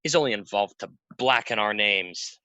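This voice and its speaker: A man's voice: fairly low pitch, normal speed, pushed and bright, no room sound. A man acting a restless, defiant theatre player in an audio play, agitated, bitter and argumentative. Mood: scornful. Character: restless, defiant, hot-tempered young male theatre player.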